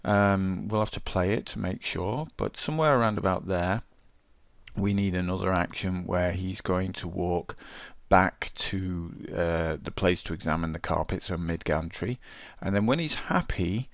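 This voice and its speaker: Deep voiced guy with gravelly fry talking through a screen tutorial, casual. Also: a little slow, no room sound. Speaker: game development tutorial presenter. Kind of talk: casual screencast walkthrough, thinking aloud.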